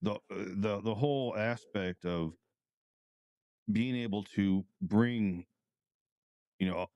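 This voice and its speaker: A man in casual podcast conversation, speaking in hesitant, halting phrases as he hosts. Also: on the low side, a little mellow, no echo, dull audio.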